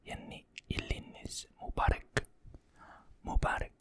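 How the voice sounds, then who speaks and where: A person whispering ASMR-style, repeating phrases softly and apologizing for their pronunciation. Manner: soft whisper, repeats words slowly, apologetic about pronunciation. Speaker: ASMR creator.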